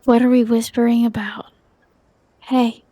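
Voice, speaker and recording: A woman casually reacting out loud while she streams a game. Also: a little high, flat delivery, measured pace, noisy background.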